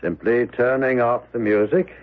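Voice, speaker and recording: An actor playing Death incarnate in a radio drama, a solemn, merciless spectre with gravelly, formal speech. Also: male voice, mid-range voice, steady tone, lightly acted. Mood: cold, deliberate. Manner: slow, solemn, formal, commanding. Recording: muffled, narrow-band old radio recording.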